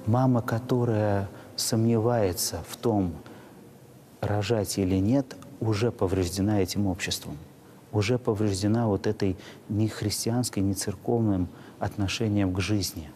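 Man, priest, low pitch, very mellow tone, measured explanatory interview talk. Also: fairly even intonation, a little hiss or hum. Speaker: priest, parish rector. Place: inside a church.

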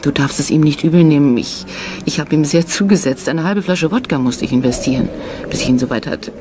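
A woman acts a kind, curious young theatre player in a radio drama. She is gentle and a little tentative. Clear background noise surrounds her voice. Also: sits low, a little fast, natural voice. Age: young adult.

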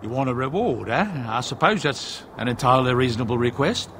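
An actor playing an affable, courteous middle-aged dwarf merchant in a video game, with folksy old-fashioned phrasing. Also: male voice, mid-range voice, lively intonation, a little fast, a bit bright, a little hiss or hum. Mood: obliging, wry. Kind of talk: video game character dialogue.

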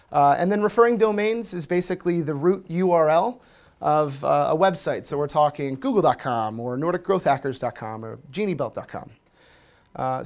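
A man with an American accent, in his thirties, gives a relaxed talk at a meetup in front of a projector screen. His voice sits a little high, and he speaks loosely with frequent "um"s and "uh"s. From Washington, D.C., USA. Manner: relaxed, chatty, many fillers. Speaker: conference speaker. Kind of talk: casual presentation talk.

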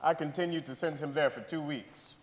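A man's voice: on the high side, a little fast, lightly acted. A man playing an earnest father giving testimony in a staged 1890s courtroom drama reading. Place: large theater stage, indoors. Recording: hall reverb from a theater stage.

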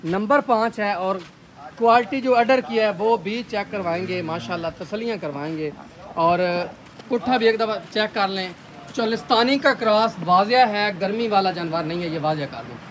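A man's voice: high voice, moderate pace, a bit bright. A man in his thirties with a Punjabi accent, hosting a casual on-site video that presents cows for sale to viewers. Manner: upbeat, praising, addresses viewers directly. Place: outdoor dairy farm yard.

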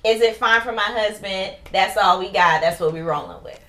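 A woman's voice: medium pitch, normal speed, sharp tone. A woman in a casual studio panel chat, asking probing, playful questions. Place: small bright studio set.

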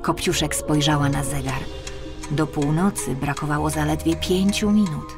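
A woman reads a children's fairy tale expressively. Her voice sits a little low, and music plays behind the narration. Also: steady tone, moderate pace. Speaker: audiobook narrator.

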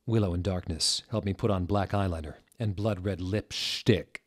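A man in his thirties giving a deliberate, theatrical reading of fan fiction, close to a desk microphone. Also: low-pitched. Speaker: reader, show host. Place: small furnished room indoors.

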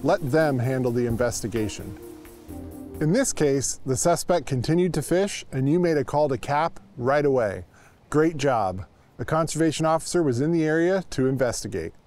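A man's voice: mid-range voice, expressive, normal speed, a little mellow. A man in his thirties with an American accent, giving scripted instructional narration as a conservation officer. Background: music.

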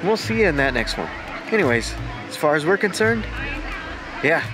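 A man's voice: on the high side, very animated, normal speed, over music, crowd noise. A man in his forties, host of a food vlog, chatting eagerly as he eats at a restaurant with the camera held close. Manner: enthusiastic, chatty, narrates while eating. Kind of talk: casual food vlog chat. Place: indoor restaurant, then outdoor beach. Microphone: handheld camera held close.

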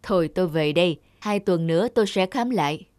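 A woman voicing a kindly, conscientious old male village healer in a children's cartoon, giving advice in a measured, earnest way. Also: on the low side, darkish tone, close to an everyday voice. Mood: advising. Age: older. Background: music.

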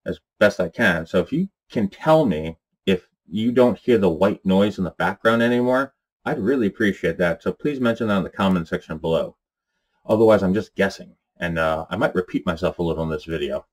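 A man's voice: low-pitched, darkish tone. A man in his forties talking casually to camera from a small room lined with bookshelves, rambling earnestly with lots of fillers. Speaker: channel host.

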